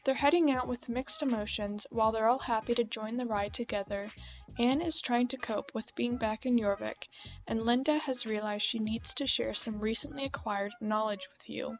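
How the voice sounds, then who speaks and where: Female gaming video creator, higher-pitched, chatty and informal, game music underneath. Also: fairly even intonation, normal speed, rough recording. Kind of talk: casual gameplay commentary with read-aloud quest text.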